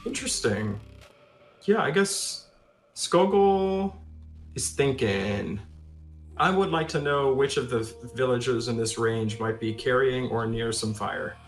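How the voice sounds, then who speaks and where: A man's voice: mid-range voice, lively intonation, measured pace. A man playing a tabletop game, casually thinking aloud about his moves. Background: background music.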